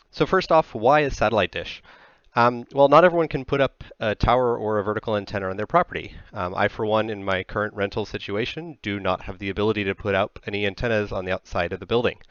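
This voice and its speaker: Technical presenter, American male, 30s, measured explainer delivery, dry close headset sound with slightly muffled top end. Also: lowish voice, a bit bright, clear, resonant tone, dry recording.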